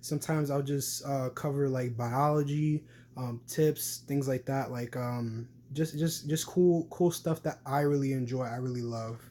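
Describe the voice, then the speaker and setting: A man's voice: medium pitch, fairly even intonation, unhurried, dark tone. A young man, talking casually to camera in a rambling way with many fillers and restarts. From New York City. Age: young adult. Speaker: vlogger.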